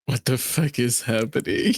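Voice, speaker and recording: A man's voice: mid-range voice, moderate pace, breathy edge, dry recording. A man livestreaming casual reaction commentary in informal slang, often laughing as he talks.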